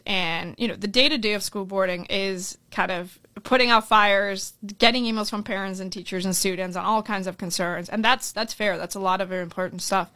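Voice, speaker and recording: A woman's voice: mid-range voice, sharp tone, dry recording. A young Colombian-born woman running for school board, talking earnestly in a podcast interview and often tagging her points with "right?". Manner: earnest, fast-flowing with frequent "right?" tags.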